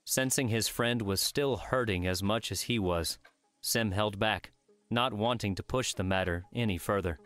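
A man's voice: lowish voice, moderate pace, a bit bright, smooth, dry recording, faint music behind. A man reading scripted story narration as an audiobook narrator.

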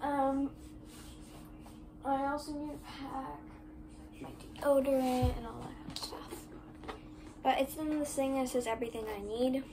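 A young girl talks casually to her phone camera from her bedroom, rambling along with plenty of "like" fillers. Her voice sits high and bright. A steady noisy room hiss runs underneath. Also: unhurried, pauses often. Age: 11, child. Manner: rambling, chatty, many "like" fillers. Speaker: vlogger. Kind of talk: casual vlog chat. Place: bedroom, indoors. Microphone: phone camera on desk.

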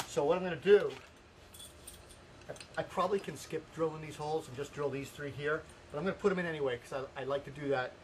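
Higher-voiced handyman over clear outdoor noise. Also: fast talker, a little mellow. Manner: plain-spoken, step-by-step. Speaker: carpenter hosting a home improvement series. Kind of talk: hands-on how-to explanation, informal. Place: outdoors in a house yard. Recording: noisy outdoor camera audio with ambient sound.

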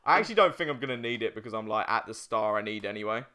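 A man's voice: on the low side, animated, rapid-fire, sharp tone. A man co-hosting a let's play, giving chatty, casual gaming commentary with some swearing.

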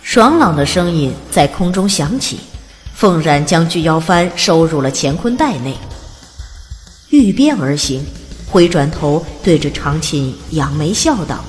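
A woman narrating an audiobook in a calm, even storytelling manner. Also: low voice, measured pace. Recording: long reverb, soft music behind.

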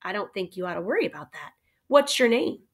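A woman's voice: medium pitch, very animated, fairly quick. A woman voicing a kind, earnest young schoolboy in a children's storybook, speaking plainly and with emphasis. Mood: reassuring.

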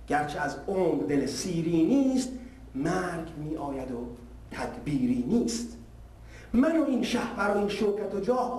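High-voiced man reciting in an echoey hall. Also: darkish tone. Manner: measured, declamatory, verse by verse. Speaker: poetry reciter. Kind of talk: dramatic recitation of a narrative poem. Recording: distant, echoey hall, faint noise.

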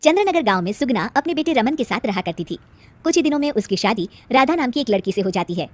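Female storyteller for children's tales, brisk pace, high and lively pitch. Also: no room sound, studio quality. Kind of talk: scripted narration of a children's story.

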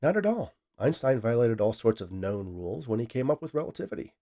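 A man voicing an eager male scientist, speaking in a raised, excited, emphatic way. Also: medium pitch, a little fast.

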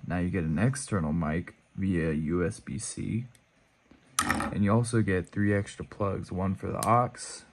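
Laid-back low-voiced man showing gear. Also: darkish tone, slight background noise. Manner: laid-back, rambling, self-correcting. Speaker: motovlogger. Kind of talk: casual gear walkthrough.

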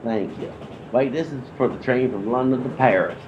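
A man's voice: medium pitch, moderate pace, background noise, natural voice. A polite, unflappable conductor played by a man in a radio comedy sketch. He speaks in a medium register with dry courtesy. The sound is slightly muffled. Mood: deadpan, polite. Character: polite, unflappable male train conductor, deadpan. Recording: muffled, dull podcast recording.

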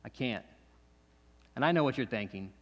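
A man's voice: mid-range voice, expressive, highs cut off. A man playing a bitter, distrustful restrained man. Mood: bitter, defiant. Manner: halting, repeats himself, confrontational questions. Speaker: actor in a monologue. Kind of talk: dramatic stage monologue. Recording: long echoey hall reverb.

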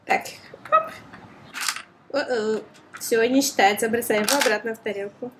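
A woman's voice: fairly high pitch, normal speed, a little hiss or hum. A woman chatting playfully to a child as she demonstrates a toy, full of exclamations, oohs and gasps. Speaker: toy review host, mother playing with her child.

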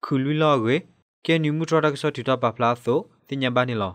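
A male news reader delivers a scripted radio bulletin in a medium-pitched voice. The sound is dry and close, with no room around it. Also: fairly quick, fairly bright tone, clean tone, dry recording.

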